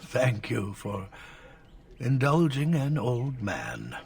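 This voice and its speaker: Elderly male tutor for a fantasy game: measured, husky, expressive, easily exasperated, slightly distant. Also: medium pitch, full character voice. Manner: measured, lecturing, formal. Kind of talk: video game voice acting.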